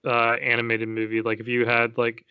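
A man co-hosting a casual podcast, speaking in a measured, hesitant way as he thinks aloud. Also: mid-range voice, monotone, clear, resonant tone, no room sound, muffled recording. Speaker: podcast co-host. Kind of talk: casual chat about video games and movies.

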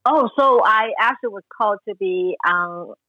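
A woman's voice: medium pitch, normal speed, no room sound. Woman from Taiwan, forties, interview guest, dry and slightly muffled line. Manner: warm storytelling, frequent fillers. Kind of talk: interview conversation.

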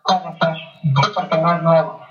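A woman's voice: low-pitched, little pitch movement, normal speed. A woman from Mexico, answering interview questions hesitantly and mixing in English phrases. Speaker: interview guest, nutritionist. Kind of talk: casual conversation. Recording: echoey, distant, muffled, faint music.

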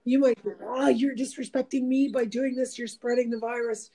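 A woman's voice: fairly high pitch, steady tone, moderate pace, mellow. A woman hosting a casual chat, talking in a meandering, reflective way with many fillers. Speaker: host.

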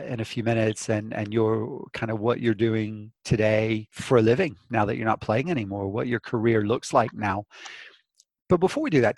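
A man's voice: medium pitch, creaky, dry recording. Guy hosting a podcast, friendly teasing questions, dry close sound. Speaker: podcast host. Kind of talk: casual interview chat.

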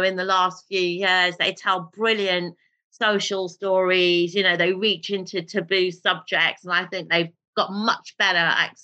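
Woman, bright forceful voice, animated, storytelling, casual interview guest. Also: lowish voice, muffled recording. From Britain, raised in a Pakistani family. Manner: animated, storytelling, laughs easily. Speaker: guest, television drama writer.

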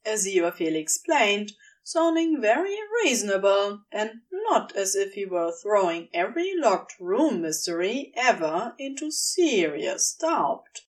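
A woman's voice: mid-range voice, very animated, a little slow, few pauses, recorded from a distance, low-quality recording. A woman narrating a scripted story, recorded slightly away from the microphone.

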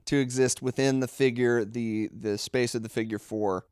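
Young adult man, podcast co-host, rambling casual chat, smooth clear voice, dry close sound. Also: mid-range voice, steady tone, normal speed, darkish tone, no echo. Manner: rambling, self-interrupting, playful impressions.

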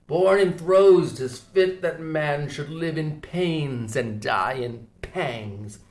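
A man reading an audiobook, voicing a grim, obsessed old whaling captain in a slow, hushed, solemn delivery. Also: fairly high pitch, roomy sound. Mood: brooding.

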